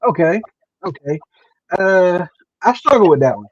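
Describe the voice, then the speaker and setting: A man hosting a casual interview over a video call, earnest and halting, often repeating himself. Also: high voice, big pitch swings, dry recording. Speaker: host interviewer. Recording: dull lo-fi stream audio.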